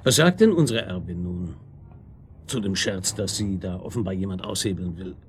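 An actor playing a shrewd homicide detective in a radio crime drama, probing with dry irony. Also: male voice, sits low, lively intonation, moderate pace, a little hiss or hum. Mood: wry, needling. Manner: ironic, probing, chuckling understatement.

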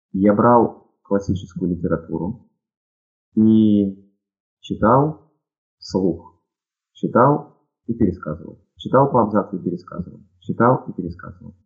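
A man from Ukraine answering listeners' questions on live radio, speaking in a measured way with pauses and fillers. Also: low voice, darkish tone. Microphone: headphones with headset audio. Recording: phone-like narrow audio.

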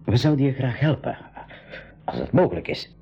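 Kindly radio-play uncle: very raspy, swooping intonation, earnest and deliberate, muffled old recording. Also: male voice, mid-range voice, sing-song, warm tone, exaggerated voice. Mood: concerned, earnest. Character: kindly, earnest uncle leading a travelling group. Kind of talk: 1980s school radio play acting. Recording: dull lo-fi 1980s radio recording.

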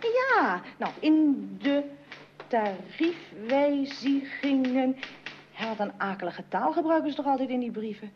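A lively young daughter in a radio play, with a high voice that swings up and down. She chats eagerly and gets indignant easily. The recording is dull with some hiss. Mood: chatty. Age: young adult. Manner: slowly reads out letters while typing, chatty otherwise. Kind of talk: Dutch radio play acting.

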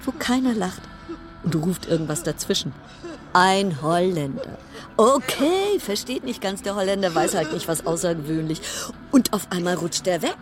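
An actress playing an uneasy, sardonic cruise ship passenger, narrating a German radio play in a wry, insistent way. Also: female voice, medium pitch, natural voice. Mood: wry, observant. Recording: clear background noise and ambience.

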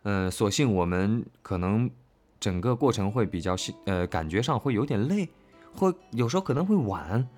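A man with a fairly low voice and very lively intonation. He speaks as a voice actor in a casual, reflective chat. His delivery is earnest and halting, and he often doubles back on phrases. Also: faint music behind. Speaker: voice actor discussing an audio drama.

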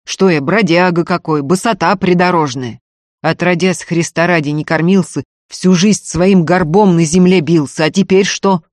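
A narrator playing a stolid, stubborn, gruff peasant man who speaks bluntly in an audiobook. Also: medium pitch, normal speed, no echo, clean studio sound, voice pushed up, lightly acted.

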